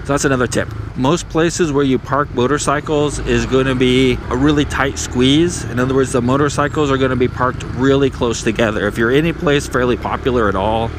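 Easygoing middle-aged man, casual tips from the saddle, clear engine and street noise around him. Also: medium pitch, fairly even intonation, brisk, few pauses. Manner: easygoing, chatty, lots of "right?" tags. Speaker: motovlogger. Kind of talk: casual how-to narration while riding. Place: on a motorcycle in city traffic outdoors. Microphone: helmet or handlebar action camera.

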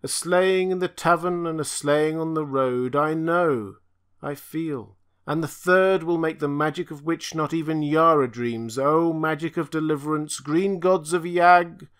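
A man doing an ancient, tortured alien creature, high and grieving. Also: a little slow, a bit bright. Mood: chanting. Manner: lamenting, chanting, raised and pleading.

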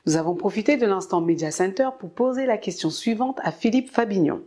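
Young woman host, clear upbeat scripted delivery, lapel mic in a studio. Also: medium pitch, a little mellow. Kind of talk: scripted presenting to camera. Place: studio with light backdrop.

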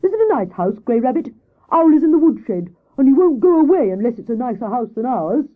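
A woman voicing an anxious, excitable male hare in a children's story, speaking in an urgent, exclaiming way. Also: sits high, fairly quick, phone-quality sound, pitched above the performer's own voice. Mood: eager.

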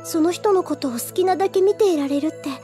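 A woman's voice: extremely high pitch, fast, mellow, faint music behind. A woman playing a shy, earnest, easily flustered teenage schoolgirl in an anime audio drama. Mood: blissful. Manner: flustered, hesitant, girlish.

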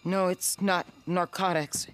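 An actress playing a firm, compassionate camp medic in a video game. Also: female voice, on the low side, fairly even intonation, slow. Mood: firm, insistent. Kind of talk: naturalistic video game acting.

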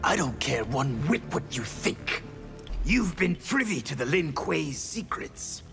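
A man voicing a brutish, bloodthirsty military warrior in a fighting game, rough and menacing. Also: a little high, very animated, takes it slowly, music in the background, exaggerated voice. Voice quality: rough, gravelly. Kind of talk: video game fight dialogue.

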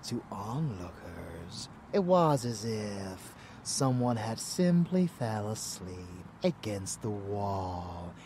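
Rebellious, doting vampire lover played by a man, ASMR audio drama. Very unhurried, low, intimate, sinister, slipping into whispers. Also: very animated, a little mellow, slight background noise. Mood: boastful, menacing. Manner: intimate, drawling, often dropping to whispers. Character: rebellious murderous male vampire lover, doting yet bloodthirsty.

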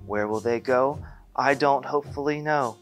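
A man's voice: medium pitch, moderate pace, faint music behind, voice pushed up, close to an everyday voice. A man voicing a small grumpy male forest creature who scolds in fussy rhyming speech, as in a storybook reading. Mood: pleading.

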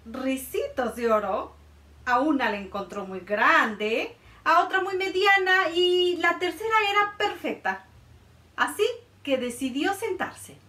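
A woman in her thirties reading a picture book aloud to children, expressive and sing-song. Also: high voice, takes it slowly. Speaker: children's librarian storyteller. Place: indoor room by a felt board. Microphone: camera a few feet away. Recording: roomy sound from a distance.

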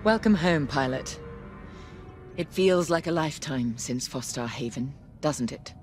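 A bitter loyal space empire captain lady, low voice, formal and measured, game cutscene. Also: lively intonation, a little mellow, faint music behind. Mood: bitter, controlled. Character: stern, bitter, loyal Imperial fleet captain, a woman. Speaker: Imperial captain. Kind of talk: dramatic game cutscene dialogue.

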